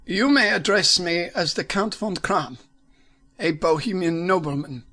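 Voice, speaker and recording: A man's voice: high voice, unhurried, sharp tone, voice pushed up, full character voice. A man voicing a masked Bohemian nobleman who is formal and commanding, with a German accent. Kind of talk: audiobook character voice.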